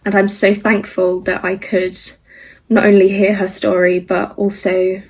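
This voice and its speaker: Young female actor, medium pitch, restrained intonation, sincere and heartfelt delivery to camera. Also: level tone, unhurried, a little mellow, breathy edge. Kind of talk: personal video message.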